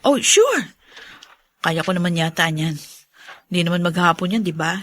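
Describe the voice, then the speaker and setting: A Filipino woman acting a weary, fretful young mother in a radio drama, expressive and easily exasperated. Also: fairly low pitch, normal speed. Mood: agreeable. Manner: expressive, exasperated when pressed.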